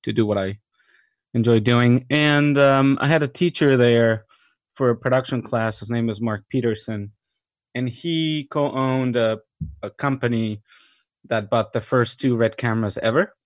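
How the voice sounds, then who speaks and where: A man from Mexico speaks in an unhurried, conversational way, pausing with fillers and circling back mid-sentence. His voice sits at an ordinary middle pitch and is recorded close and dry, with no room sound. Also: dry recording. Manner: thoughtful, many fillers and restarts, enthusiastic. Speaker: cinematographer guest. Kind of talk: relaxed interview conversation.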